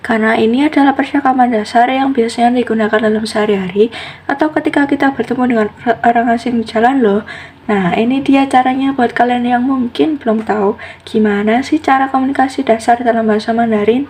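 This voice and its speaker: A woman's voice: a little high, steady tone, fairly quick, darkish tone, a little hiss or hum. A teenage girl on a student podcast, chatty and asking listeners questions as she explains. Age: teens. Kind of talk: school podcast presentation.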